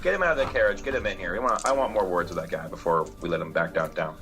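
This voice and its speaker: A man's voice: sits low, racing, a bit bright, soft background music. A man voicing a world-weary, commanding police investigator in a tabletop game, curt and matter-of-fact.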